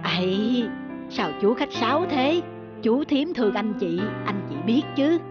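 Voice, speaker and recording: A woman playing a humble, generous older country relative in an animated family drama, homely and reassuring. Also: a little high, unhurried, muffled recording, music in the background.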